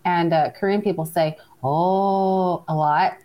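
A woman's voice: fairly low pitch, steady tone, slow, muffled recording. A woman hosting a podcast, playful and teasing in casual conversation. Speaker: podcast host.

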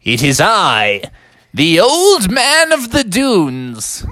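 A woman's voice: mid-range voice, wildly swooping pitch, extremely slow, fairly bright tone, dry recording, clean studio sound, pitched above the performer's own voice, exaggerated voice. A woman voicing an old male owl wizard, grandly solemn and impatient, in a bedtime story.

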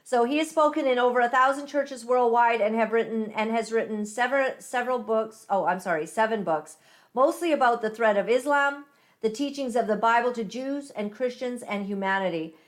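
Chatty higher-voiced woman host. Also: a little fast. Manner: chatty, laughs often, warmly enthusiastic. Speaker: podcast host. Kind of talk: interview chat.

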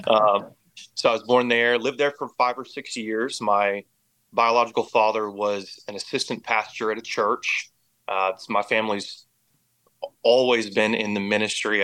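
A man's voice: lowish voice, sharp tone, clear, resonant tone, low-quality recording. An American man giving personal testimony as an interview guest, speaking in an earnest, reflective way. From Indiana and Oklahoma, United States. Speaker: podcast guest.

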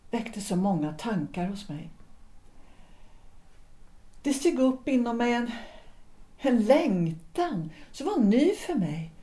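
An actress playing a weary but resolute wife in a period audio drama, speaking in a deliberate, reflective way with measured pauses. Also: female voice, medium pitch, mellow, close to an everyday voice. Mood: wistful. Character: weary, resolute wife and former opera singer, 1900s Stockholm. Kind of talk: period stage-play audio drama acting.

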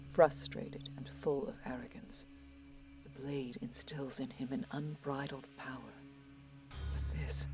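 A grave elven seeress, slow and hushed, breathing out her warnings. She sounds cautious and foreboding in a science fantasy audio drama with a musical score. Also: extremely low pitch, heavily acted. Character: solemn female elf seer, cautious, foreboding lover of a warrior.

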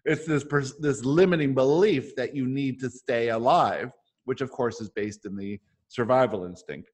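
A man hosting a discussion in a casual, explanatory way, as if thinking his points through aloud. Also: medium pitch. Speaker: host of a book club discussion. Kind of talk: casual spiritual self-help discussion with some reading aloud.

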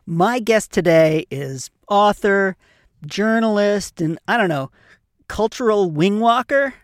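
A man hosting a podcast interview, friendly and quick to laugh, often stumbling and restarting his sentences. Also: high voice, expressive, no echo, clean studio sound. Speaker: podcast host.

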